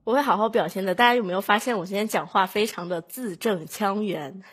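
A woman's voice: medium pitch, fairly quick, husky. A woman appearing as a podcast guest, chatting casually with joking, mock-boastful delivery.

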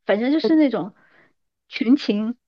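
A woman in her thirties hosts a casual chat with an agreeable, conversational manner. Her voice sits a little high, and the recording is dry with a slightly muffled, lo-fi tone. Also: a little slow, dry recording. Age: 30s. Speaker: podcast host.

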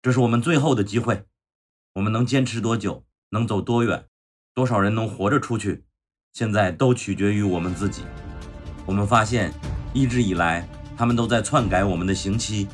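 A man acting a defiant prison foreman who gives a rousing, deliberate speech to fellow inmates in a radio play. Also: on the low side, faint music behind, natural voice. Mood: commanding, urgent, then grave, indignant. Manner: rousing, deliberate, emphatic commands. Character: prison foreman rallying inmates over a loudspeaker, commanding, defiant. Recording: dramatic score under the voice.

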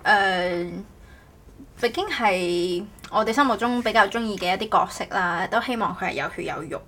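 Podcast host, woman, forward bright tone, casual and halting delivery. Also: medium pitch. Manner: halting, repeats words, self-correcting. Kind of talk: casual co-hosted podcast chat.

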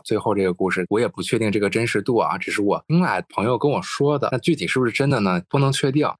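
Male podcast host, low register, quick informal storyteller, casual chat, close dry sound. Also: dry recording.

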